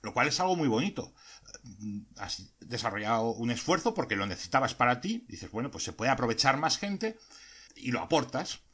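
Podcast host, man with a Spanish accent, mid-range voice, thoughtful and earnest, pauses often. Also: medium pitch, big pitch swings, fairly bright tone, slightly rough. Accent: Spain. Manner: earnest, reflective, with frequent hesitations. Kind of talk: explanatory podcast monologue.

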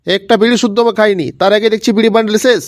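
A man's voice: sits high, steady tone, rapid-fire, dry recording, clean studio sound, lightly acted. A man voicing an angry, foul-mouthed old grandfather in a comedy cartoon, scolding and repeating himself. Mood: exasperated scolding. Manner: scolding, repetitive, ranting.

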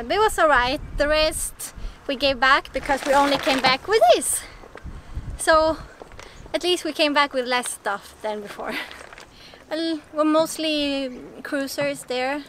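A young woman, chatting casually to the camera on a sailboat deck, easygoing and halting. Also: high voice, a bit bright, a little hiss or hum. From Sweden. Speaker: sailing vlogger.

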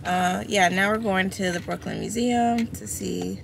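Slow, gravelly woman driving. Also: mid-range voice, fairly even intonation. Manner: laid-back, short replies. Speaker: vlog co-host, driver. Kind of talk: casual car chat. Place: inside a car. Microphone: camera on the dashboard. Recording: loud car and road noise.

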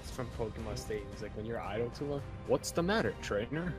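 A man's voice: mid-range voice, animated, quick pace. Joking male card game caster, music behind. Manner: hammy, joking. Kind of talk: mock announcer play-by-play with casual banter.

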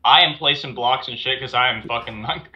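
A man's voice: mid-range voice, fast, dull audio, a crowd in the background. A man on a group call, rambling wryly. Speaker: guest on the call. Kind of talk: casual chat.

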